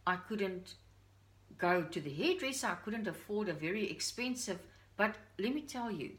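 A woman's voice: mid-range voice, expressive, moderate pace, a bit bright. A middle-aged woman with a Dutch accent talking earnestly to the camera in her living room as a parent vlogger. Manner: earnest, heartfelt, confiding. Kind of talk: personal talk to camera. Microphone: camera on a stand at a short distance.

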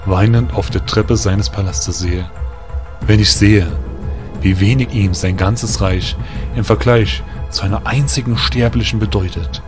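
A man voicing a calm, wise male faun spirit who lectures serenely, heard from a distance. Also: fairly low pitch, a little slow, pitched below the performer's own voice, natural voice. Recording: distant voice over music.

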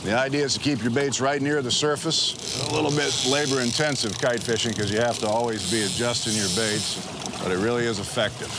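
A man's voice: medium pitch, steady tone, brisk, very noisy. A man hosting a fishing show, chatting casually and explaining things out on an open boat. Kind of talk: casual on-the-water chat and explanation. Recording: outdoor boat audio with music. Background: boat sounds, music.

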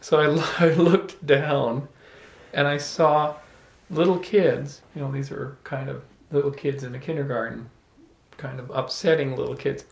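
A middle-aged man telling an animated, playful story to a small audience, holding a handheld microphone. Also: mid-range voice, measured pace, gravelly. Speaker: salon speaker. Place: room with small live audience. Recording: echoey room.

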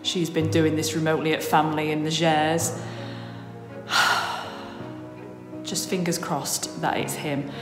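A woman's voice: deep voice, flat delivery, takes it slowly, pauses often, over music. A woman, talking worriedly into a phone held at arm's length in a casual vlog. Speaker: vlogger. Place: outdoors in the countryside and indoors at home.

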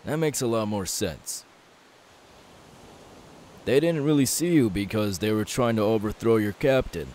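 A kindly, self-assured young pirate played by a man speaks low and unhurried in an ASMR audio roleplay. He is soft-spoken and reassuring, with a faint hiss behind him. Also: animated. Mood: curious, reassuring.

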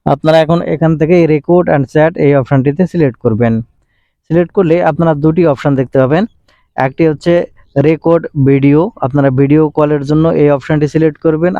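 Fast-talking man narrating a tutorial, mellow tone. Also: fairly high pitch, no room sound, muffled recording. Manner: repetitive, instructional phrasing. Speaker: tech tutorial presenter. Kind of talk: step-by-step screen tutorial narration.

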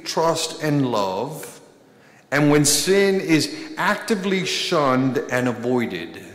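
Slow deliberate priest in echoing church. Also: male voice, on the high side, a bit bright. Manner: slow, deliberate preaching with emphatic pauses and gestures. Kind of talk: sermon. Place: large church, at a pulpit. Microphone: pulpit microphone. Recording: echoey church with long reverb.

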